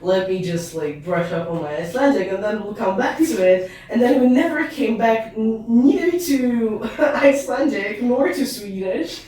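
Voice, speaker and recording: Woman podcast host, casual and halting, recorded off-mic in a roomy space. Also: a little high, dark tone. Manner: halting, many fillers and restarts. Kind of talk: casual chat. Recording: echoey room, far from the mic.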